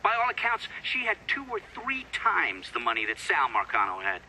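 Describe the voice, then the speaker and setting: A man's voice: a little high, wildly swooping pitch, normal speed, sharp tone, slight background noise, exaggerated voice, poor audio. An actor playing a formal, skeptical official questioning a witness in a video game, probing as he goes.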